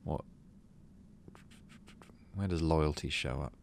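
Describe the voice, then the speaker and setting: A man's voice: very deep voice, takes it slowly, gravelly, a little hiss or hum. A man hosting a gaming video, reading story text aloud and adding casual, muttered asides to himself. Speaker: gaming channel host.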